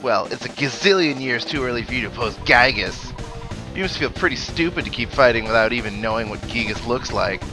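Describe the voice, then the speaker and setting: A man's voice: mid-range voice, expressive, fairly quick, over music, natural voice. A man voicing an obnoxious, gloating boy villain from a video game, with a taunting, theatrical, mock-pitying delivery. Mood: boastful taunting. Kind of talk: read-aloud video game villain dialogue.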